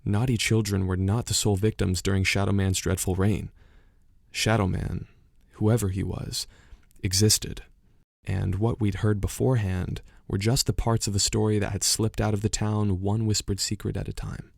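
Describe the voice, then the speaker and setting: An actor plays an earnest man hosting an investigative audio drama. His low voice has some fry and is recorded close and dry. He narrates in a measured, reflective, slightly portentous documentary manner. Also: little pitch movement, a little mellow, dry recording. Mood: grave, intrigued. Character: earnest male investigative podcast host from Los Angeles.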